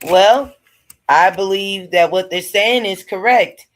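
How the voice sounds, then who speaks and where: A woman co-hosting a live-stream discussion, speaking bluntly in a relaxed way. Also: mid-range voice, slow pace. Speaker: co-host.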